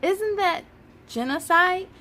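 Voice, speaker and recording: A woman's voice: extremely high pitch, lively intonation, takes it slowly, pushed and bright, pitched above the performer's own voice, full character voice. A woman voicing curious, outspoken schoolchildren in a children's storybook, eager and full of questions. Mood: surprised, questioning. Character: curious, outspoken young schoolchildren answering in class. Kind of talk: children's storybook read-aloud.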